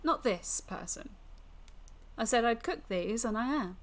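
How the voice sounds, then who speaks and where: A woman's voice: fairly high pitch, expressive, unhurried. Woman voicing a menacing, teasing fiend storyteller. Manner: rough British slang, threatening and mocking.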